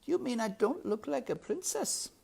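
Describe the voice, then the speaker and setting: A woman's voice: a little high, expressive, moderate pace, vocal fry, darkish tone, husky, pitched above the performer's own voice, heavily acted. A woman voicing a simple, hopeful young serving girl with naive, rustic phrasing. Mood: hopeful, hurt.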